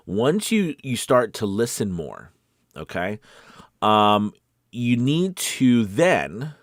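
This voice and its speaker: Guy with a lowish voice coaching in a chatty encouraging way, really dry close sound. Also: dry recording. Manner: conversational, encouraging, frequent check-in questions. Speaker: voice acting coach. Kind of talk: casual instructional talk.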